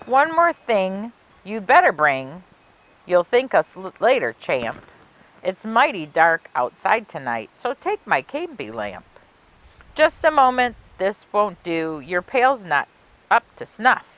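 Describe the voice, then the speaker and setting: A woman's voice: medium pitch, sing-song, takes it slowly, fairly bright tone, low-quality recording. A woman voicing a fussy, bossy, overprotective parent in a children's storybook, interrupting and nagging insistently.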